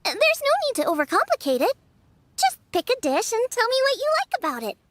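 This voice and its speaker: A woman's voice: very high voice, huge pitch swings, a little fast, a bit bright, dry recording, full character voice. A woman voicing an eager, earnest young female chef who gets a little flustered.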